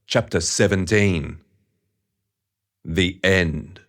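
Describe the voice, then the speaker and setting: A man reading a suspenseful story as a narrator, in a measured, dramatic scripted style. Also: sits low. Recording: loud music under the narration.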